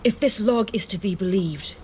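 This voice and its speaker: A woman's voice: medium pitch, normal speed, a little mellow, slight background noise, lightly acted. A woman playing a proud, composed leader of a rebellion in a game cutscene, formal and self-assured. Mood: urgent. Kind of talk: video game cutscene acting, period drama.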